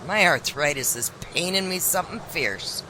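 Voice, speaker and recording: A woman's voice: lowish voice, lively intonation, moderate pace, background noise, natural voice. An actress playing an elderly woman with arthritis, grumbling and impatient, in a radio play. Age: older.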